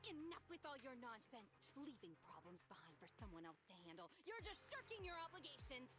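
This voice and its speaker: A stern high-voiced mechanic. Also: female voice, brisk, music in the background. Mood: scolding. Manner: commanding, scolding, lively pitch. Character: stern cool-headed young woman mechanic. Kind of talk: video game dialogue. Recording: game score under the voice.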